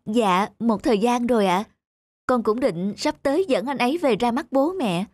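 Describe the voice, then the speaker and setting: A woman's voice: on the high side, expressive, moderate pace, darkish tone, no room sound. A woman voicing an earnest, lovestruck young student daughter in a cartoon. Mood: hesitant. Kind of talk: cartoon dub acting.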